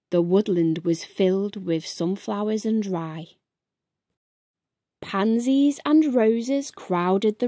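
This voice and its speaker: A woman narrating a rhyming picture book aloud with a measured bedtime-story delivery. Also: mid-range voice, warm tone, no echo, studio quality.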